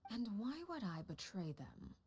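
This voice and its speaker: An actress playing a cold, composed woman who leads a household of spies, speaking in a measured, quietly menacing way. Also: mid-range voice, big pitch swings, breathy edge. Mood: cool. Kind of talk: video game dialogue. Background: game music.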